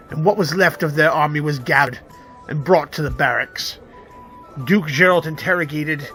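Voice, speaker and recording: A man playing a proud, scornful loyalist telling war tales in a fantasy radio drama, with halting, grandiose phrasing. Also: a little high, fairly bright tone, rough recording. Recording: music under the voice.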